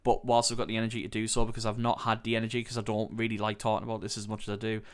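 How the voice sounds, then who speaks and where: A man's voice: on the low side, monotone, rapid-fire. Nasal, sweary young British man. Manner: sweary, rambling, confessional. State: coming down with a cold. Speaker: podcast host. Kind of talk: candid solo podcast monologue.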